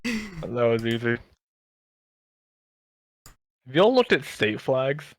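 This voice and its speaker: A man's voice: medium pitch, very animated, slow. A young American man co-hosting a podcast, chatting casually with friends. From United States. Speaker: podcast co-host. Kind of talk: casual chat among friends.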